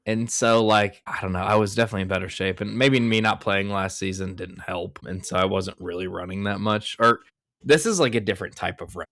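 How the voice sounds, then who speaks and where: A man's voice: sits low, fairly quick, a bit bright, dry recording, clean studio sound. A young man in his twenties hosting a podcast, talking casually and rambling with lots of fillers. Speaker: podcast host. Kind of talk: casual chat.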